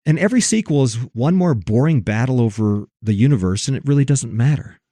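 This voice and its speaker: A man's voice: medium pitch, expressive, a little fast, warm tone, no room sound. A man giving sermon-like religious commentary and scripture readings, preachy and emphatic, repeating phrases for weight.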